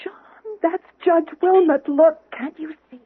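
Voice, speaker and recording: An actress playing an earnest, firm housekeeper, prim and insistent, in an old-time radio drama. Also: female voice, very high voice, steady tone, unhurried. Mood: urgent, anxious. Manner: prim, insistent, searching for words. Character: earnest, firm housekeeper, a woman with a nagging hunch. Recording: thin, narrow-band old radio broadcast.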